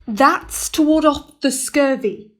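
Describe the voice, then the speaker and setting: A woman's voice: high-pitched, slow, fairly bright tone. A woman playing a stern, commanding ship captain who also narrates diary entries in a radio play.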